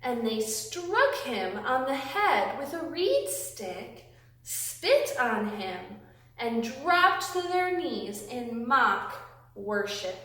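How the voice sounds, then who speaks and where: A woman reading aloud and teaching young children, with simple, deliberate, emphatic phrasing, recorded in a classroom. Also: on the high side, very animated, a bit bright. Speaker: children's Bible storytime host, reading aloud. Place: indoors, a classroom. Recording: very echoey room, distant microphone.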